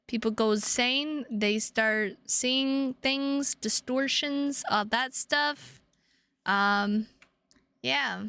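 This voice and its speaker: Bright, high-voiced tired woman streaming. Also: clear, resonant tone, no echo. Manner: halting, rambling delivery with drawn-out words. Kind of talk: casual livestream chat to viewers.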